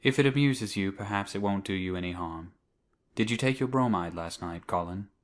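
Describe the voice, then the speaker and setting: A man playing a nervous, cautious middle-aged doctor in an audiobook dramatic reading, speaking in a measured, formal way. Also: on the low side, lightly acted. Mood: relieved, indulgent.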